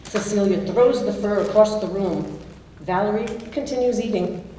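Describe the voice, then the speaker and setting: A woman's voice: mid-range voice, moderate pace, warm tone, slight background noise. A woman reading scripted stage directions as narrator of a staged reading. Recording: echoey hall, distant mic, music.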